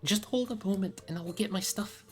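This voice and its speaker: Loyal hobbit manservant as put on by a book reader: high, rustic, anxious, earnest, music behind. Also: a little fast, lightly acted. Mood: eager, determined. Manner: rustic, pleading, earnest speech.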